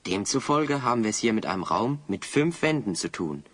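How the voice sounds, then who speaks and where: A man's voice: lowish voice, very animated, brisk, a bit bright. An actor playing a determined, thoughtful heroic adventurer in an audio drama, explaining things earnestly to his companion.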